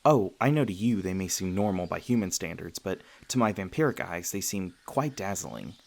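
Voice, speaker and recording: A low-pitched, soft-hearted male vampire, played by a man, speaks closely to one listener in an ASMR roleplay. He is earnest and self-conscious, often hesitating or stammering as he gently explains himself. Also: expressive, normal speed, vocal fry, heavily acted. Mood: warm, admiring. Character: gentle, self-conscious male vampire, century old but youthful, sweetly flustered.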